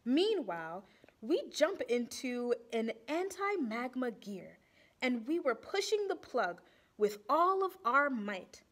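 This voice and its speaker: A young woman reading a children's picture book aloud expressively, deliberately, pausing to ask and explain. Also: high-pitched, big pitch swings, a bit bright. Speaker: storytime reader for children. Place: small indoor room with bulletin board.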